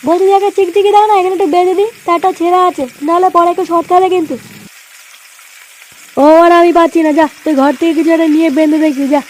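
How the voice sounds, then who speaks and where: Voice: very high-pitched, level tone, fast, low-quality recording. An actor voicing a foul-mouthed, hot-tempered cartoon village slacker, crude and exclamatory, in squabbling comedy dialogue. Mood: grumbling.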